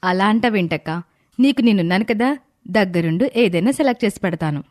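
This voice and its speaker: Young woman of the family, voiced by an actress, medium pitch, dramatized moral-story dialogue. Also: lively intonation, a little fast, darkish tone, natural voice. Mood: affectionate. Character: young sisters and mother in a family tale.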